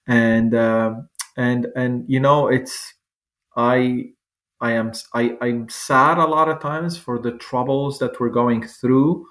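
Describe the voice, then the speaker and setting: A man's voice: medium pitch, steady tone, takes it slowly, a bit bright. A man, a physician guest speaking in a wry, conversational way. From Lebanon. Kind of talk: panel interview answer.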